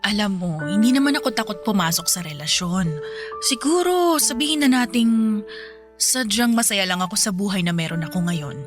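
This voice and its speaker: A woman's voice: medium pitch, lively intonation, normal speed, music in the background, lightly acted. A Filipino woman playing a good-natured, modest widow in a radio drama, conversational and lightly bantering.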